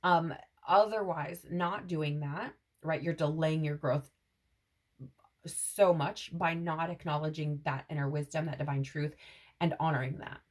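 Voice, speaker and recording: Low-voiced woman giving a rambling tarot reading. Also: fairly even intonation, a little slow. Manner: meandering, repeats "right?" and "like", intuitive channeling tone. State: scratchy throat. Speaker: tarot reader. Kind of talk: casual spiritual tarot reading to camera.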